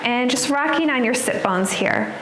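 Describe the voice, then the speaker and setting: A woman yoga instructor guiding a class in a soothing, unhurried manner, leaving pauses between her cues. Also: a little high, expressive. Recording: roomy echo with background noise.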